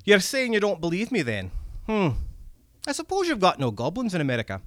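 Man putting on a gruff red cap goblin. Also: high voice, huge pitch swings, a bit bright, no echo, voice pushed up, heavily acted. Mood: teasing. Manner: gruff, folksy, drawn-out swearing.

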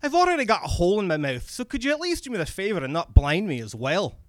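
A man's voice: sits high, big pitch swings, fast, no room sound, voice pushed up. A man voicing a sarcastic, grumpy but polite little old vampire man with a Scottish accent in a story reading. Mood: pained, irritated. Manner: scolding, wheedling, colloquial.

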